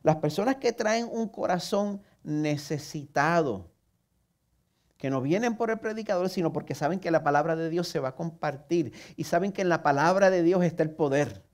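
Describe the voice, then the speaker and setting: A man's voice: a little high, moderate pace, a little mellow. Male pastor, Puerto Rican accent, earnest sermon delivery, direct rhetorical questions, a touch of self-mocking humor. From Puerto Rico.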